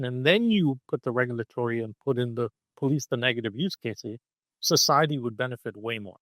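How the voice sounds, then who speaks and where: A man of middle pitch speaks as a podcast guest, keen to explain, and keeps checking in with the listener with a quick "okay?". The sound is dry and close, with no room around it. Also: a bit bright, no room sound. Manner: punctuates points with "okay?" and "right?". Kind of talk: animated conversational explaining.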